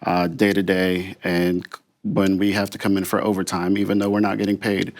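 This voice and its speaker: A man with a low, gravelly voice gives unscripted interview answers. He speaks as a union official for air traffic controllers, in a halting, earnest and measured way. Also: flat delivery.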